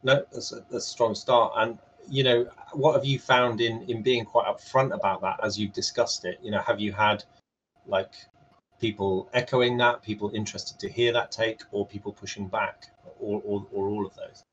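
A man's voice: on the low side, steady tone, normal speed, slight background noise. A man moderating an unscripted panel over a video call, hesitating and repeating words as he goes. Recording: muffled, band-limited video call.

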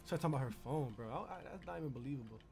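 A man's voice: mid-range voice, expressive, racing, gravelly, heavy background noise. An American man streaming online role-play, bantering in slang in a brash, teasing, flirtatious way. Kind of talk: casual in-character banter.

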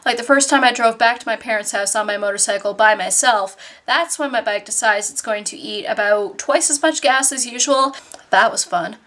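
High-voiced young Canadian woman vlogger. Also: normal speed. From Nova Scotia, Canada. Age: 20s. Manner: animated, self-deprecating, sardonic. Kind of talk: casual storytelling to camera. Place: small bedroom, indoors.

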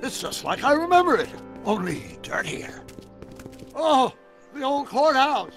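An actor playing an eccentric, excitable elderly scientist in a video game, with a gravelly voice and a dramatic, wordy way of exclaiming. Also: male voice, very high voice, unhurried, soft background music, exaggerated voice. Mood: amazed. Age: older.

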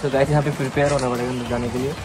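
A man's voice: mid-range voice, flat delivery, rapid-fire. A young man, narrating a casual travel vlog to his viewers, mixing in English words. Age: young adult. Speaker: travel vlogger. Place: outdoors at a stream and rocky gorge. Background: loud music.

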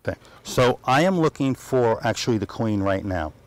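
A man's voice: mid-range voice, lively intonation, normal speed. A middle-aged man, a beekeeper explaining his work in casual, rambling speech. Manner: chatty, lots of fillers and restarts. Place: outdoors in a garden.

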